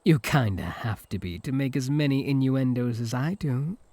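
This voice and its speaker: A man's voice: medium pitch, normal speed, warm tone, no echo, close to an everyday voice. A man with a British accent playing a smug, teasing, possessive incubus in a comic audio roleplay. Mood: smug, taunting. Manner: mocking, flirtatious banter.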